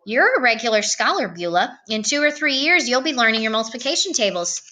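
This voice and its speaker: Woman putting on a pompous, booming school superintendent. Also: very animated, brisk, pushed and bright. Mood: flustered, stern. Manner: booming, barking, interrogating. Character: pompous, booming, stern male school superintendent, big man.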